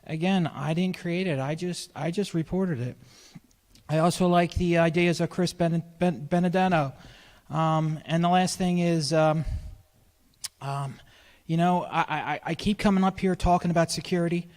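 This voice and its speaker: A man speaks earnestly and insistently at a school board podium, his voice pitched a little higher than most men's. He restarts phrases often. A long hall reverb trails each line. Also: steady tone, normal speed, darkish tone. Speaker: public commenter. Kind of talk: public comment at a board meeting.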